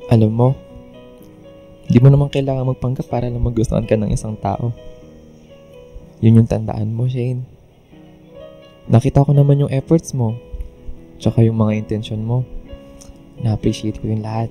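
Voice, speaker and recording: A man playing a gentle, forgiving young man, soft and reassuring in radio drama dialogue. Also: mid-range voice, level tone, normal speed, long pauses, soft background music, lightly acted. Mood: tender reassurance.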